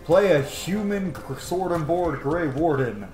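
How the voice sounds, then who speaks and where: A man's voice: on the high side, expressive, unhurried, a little mellow. A man giving casual, rambling commentary as he plays a video game, musing aloud. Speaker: gaming streamer, let's-player. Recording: roomy echo, faint game music. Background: faint game music.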